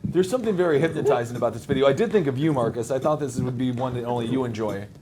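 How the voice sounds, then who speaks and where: A man's voice: medium pitch, rapid-fire, a crowd in the background. A man co-hosting a comedy stream, laid-back and laughing often. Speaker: stream co-host. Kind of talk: casual comedy stream chat.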